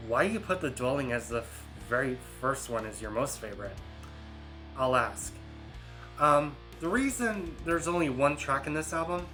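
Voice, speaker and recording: A man in his early thirties, hosting a casual album ranking, rambling and hesitant with many fillers and restarts. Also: medium pitch. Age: 30s. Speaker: YouTube host.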